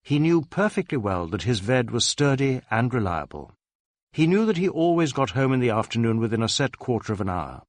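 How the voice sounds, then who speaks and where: A man's voice: mid-range voice, sing-song, fairly quick, dry recording. A man reading a detective novel aloud as scripted dramatic narration. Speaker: audiobook narrator.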